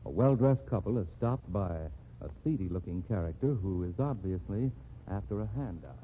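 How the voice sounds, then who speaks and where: A man narrating as announcer in 1940s radio style, measured and formal. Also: lowish voice, expressive, a little hiss or hum. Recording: tinny old radio broadcast with music.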